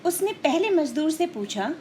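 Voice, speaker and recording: Slow, high-pitched woman teaching children. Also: sing-song, darkish tone, a little hiss or hum. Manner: didactic, explains and questions listeners directly. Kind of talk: scripted storytelling lesson addressed to children.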